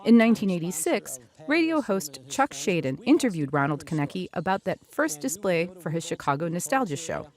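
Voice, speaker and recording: A woman's voice: fairly low pitch, very animated, brisk, few pauses, darkish tone. A woman news reporter reading a scripted feature narration.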